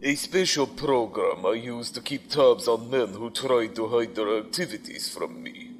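A man's voice: medium pitch, unhurried, a little hiss or hum, natural voice. Man acting as coolly ruthless space-empire commander. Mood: cold command. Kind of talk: science fiction audio drama acting.

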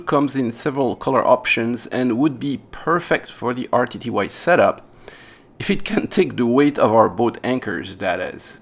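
A man's voice: mid-range voice, creaky. A man hosting a hobby electronics video, narrating a demonstration casually with playful, wry humor. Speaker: host of electronics restoration video channel.

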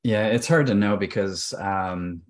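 Low-voiced man thinking aloud. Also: unhurried. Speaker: panelist. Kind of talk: casual chat. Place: indoor room, group video call.

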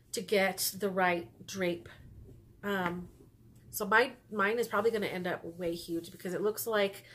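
A woman's voice: medium pitch, a bit bright. Stuffy-nosed woman chatting about knitting. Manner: chatty, rambling, frequent ums and laughs. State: stuffy nose. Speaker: knitting podcast host. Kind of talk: casual chat to camera. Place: small room indoors at home.

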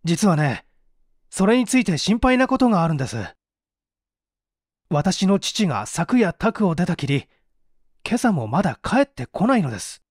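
Man as young gentleman, audiobook character, courteous, worried, earnest, high pitch, dry sound. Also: big pitch swings, moderate pace, pauses often, no echo. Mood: concerned. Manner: polite and earnest. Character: earnest, courteous young master, worried about his father.

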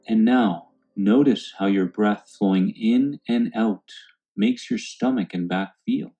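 Low, mellow man guiding a meditation. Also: creaky, highs cut off. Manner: calm, soothing, unhurried instruction. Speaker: meditation guide. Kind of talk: guided meditation narration. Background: background music.

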